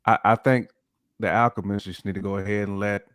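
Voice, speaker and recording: A man's voice: on the low side, fairly even intonation, brisk, a bit bright, clear, resonant tone, no echo, clean studio sound. A man chatting casually as a hip hop podcast panelist, slangy and repeating phrases for emphasis.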